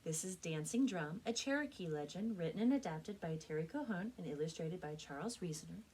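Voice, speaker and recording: A woman speaking as a children's librarian and storyteller, with an expressive, clearly enunciated read-aloud delivery. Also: lowish voice, a little fast. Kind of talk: children's storytelling and book recommendations.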